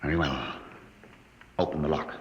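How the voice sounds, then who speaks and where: A man's voice: rumbling low, steady tone, normal speed, muffled recording. An actor playing a cautious, authoritative male spaceship captain with clipped orders, in a 1950s radio drama. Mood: commanding. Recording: very noisy old radio broadcast recording.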